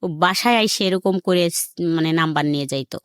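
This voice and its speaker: Lowish-voiced middle-aged Bangladeshi woman. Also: normal speed, clear, resonant tone, no room sound, clean studio sound. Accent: regional Bangladeshi. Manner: plain colloquial telling, quotes reported dialogue. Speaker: guest telling own life story. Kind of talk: informal personal storytelling. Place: radio studio.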